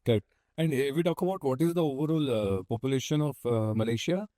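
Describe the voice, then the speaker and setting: A man's voice: mid-range voice, quick pace, mellow, no echo, clean studio sound. A man hosting an interview, asking long, winding questions and agreeably repeating his guest's answers. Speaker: podcast host, interviewer.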